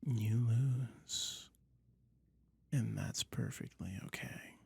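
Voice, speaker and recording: A man voicing a soothing, toying dragon in a soft, breathy whisper, slowly lulling the listener in an ASMR hypnosis roleplay. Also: mid-range voice, dry recording, heavily acted. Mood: teasing, tender. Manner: slow, soothing, lulling, drawn-out phrases.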